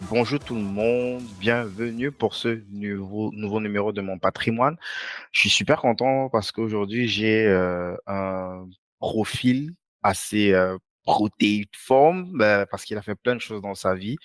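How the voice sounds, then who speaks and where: A man hosting an interview in a conversational way, hesitant, often pausing in mid-sentence. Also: lowish voice, no echo. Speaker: podcast host.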